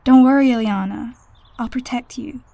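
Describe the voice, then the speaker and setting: A woman playing a plucky, casual teenage mutant girl in an audio drama, with a slightly creaky voice. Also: a little high, moderate pace, warm tone, no echo.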